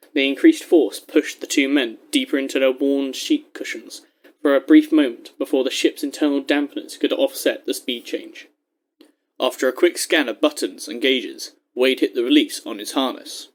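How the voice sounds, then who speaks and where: Measured male story narrator, mid-pitched. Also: fairly even intonation.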